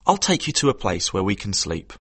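A cheeky young street boy from a storybook, voiced by a man, with a husky edge. He talks in lively swings, eager and wheedling. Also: on the low side, a little fast, dry recording, pitched below the performer's own voice, full character voice. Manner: eager, pleading, persuasive.

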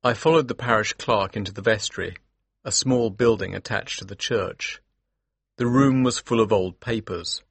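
Low, husky male actor as earnest gentleman narrator. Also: normal speed, no echo. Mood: measured narration. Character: earnest, determined young gentleman narrator of Victorian mystery. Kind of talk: scripted first-person audiobook narration.

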